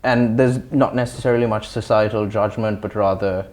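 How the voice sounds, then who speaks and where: A young man with a slightly low-pitched voice speaks as an interview guest. He is from Sri Lanka. He phrases his reflections in a measured, thoughtful and articulate way. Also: fairly even intonation, a little mellow. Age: young adult.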